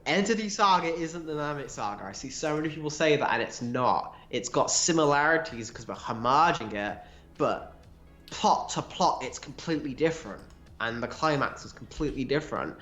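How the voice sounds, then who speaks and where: A man co-hosting a casual livestream, answering viewer questions with animated, laughing asides. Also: a little high, normal speed, roomy sound, faint music behind.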